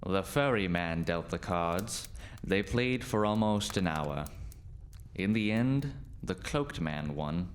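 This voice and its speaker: Male narrator for spooky audio tale: low voice, unhurried dramatic reading, faint noise behind. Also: lively intonation. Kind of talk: scripted spooky storytelling for radio play.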